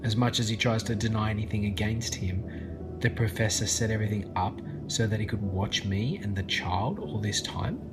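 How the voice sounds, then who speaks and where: A man's voice: on the low side, moderate pace, over loud music, close to an everyday voice. An actor playing a troubled, uncertain man in an audio drama. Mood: troubled disbelief. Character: troubled, uncertain man facing hard truths.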